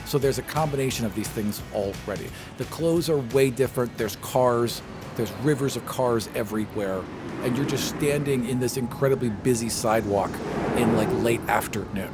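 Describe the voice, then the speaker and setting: A man's voice: medium pitch, normal speed. A man working as game master, narrating a tabletop roleplaying game in a conversational, casual way. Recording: music behind the voice. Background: music.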